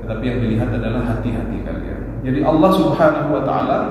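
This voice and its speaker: A man in his forties delivers a patient religious lesson, his pitch sitting in an ordinary middle range. The sound rings with long reverb through a big hall, and a faint room hum stays underneath. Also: fast talker. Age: 40s. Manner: calm explaining, frequent affirming "ya". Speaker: religious teacher. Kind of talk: mosque study-circle lecture. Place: large mosque hall. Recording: echoey mosque hall with faint ambience.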